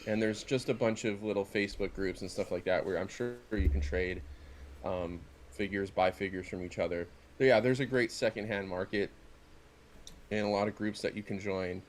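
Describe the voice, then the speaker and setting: A middle-aged man with a fairly low voice, talking in a loose, rambling, joking way as a collector on a casual podcast. There is noticeable noise in the room recording. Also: fairly even intonation, moderate pace. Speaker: podcast co-host and wrestling figure collector. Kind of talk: casual chat in an unboxing video.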